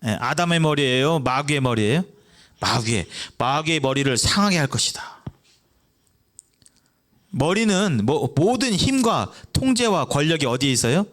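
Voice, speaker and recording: A man's voice: expressive, moderate pace, fairly bright tone. A young man preaching an evangelistic sermon. He keeps asking the congregation questions and answers them himself. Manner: acts out children's voices in a brief high voice.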